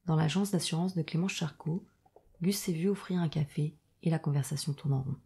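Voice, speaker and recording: Woman doing noir audio drama narration, creaky and mellow, dry detached storyteller. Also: deep voice, a little fast, full character voice. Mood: wry, subdued.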